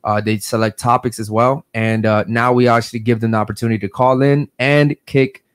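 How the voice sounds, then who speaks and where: A man's voice: mid-range voice, steady tone, a little fast, clean tone, dry recording. A man hosting a podcast in a brash, profane, casual way, tacking "man" onto his sentences. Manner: brash, profane, drops "man" and "guys" often. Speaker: podcast host.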